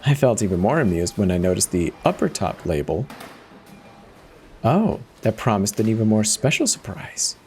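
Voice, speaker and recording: A man's voice: fairly low pitch, sing-song, normal speed, a little mellow, slight background noise. A man giving a dramatic first-person reading of a horror story as its narrator.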